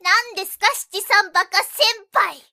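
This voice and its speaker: A woman playing a flustered, sharp-tongued high school girl in a Japanese romantic-comedy voice drama, excitable and quick to stammer. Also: vocal fry, no room sound, studio quality, exaggerated voice. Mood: huffy.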